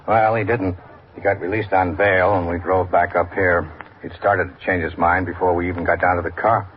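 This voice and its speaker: An actor playing a laconic, understated police detective lieutenant in a classic radio drama. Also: male voice, sits low, fairly even intonation, moderate pace, natural voice. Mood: dry, resigned. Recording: muffled, hissy old radio recording.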